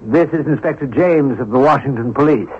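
An actor playing a wily, cheerful middle-aged career thief in a 1970s radio drama, glib and teasing. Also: male voice, medium pitch, brisk, slightly rough. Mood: glib, playful. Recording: dull lo-fi radio broadcast recording.

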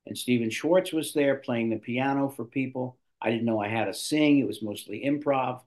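Animated older man telling stories. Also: medium pitch, moderate pace. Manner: animated storyteller, restarts a lot. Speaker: guest actor. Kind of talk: storytelling in an interview.

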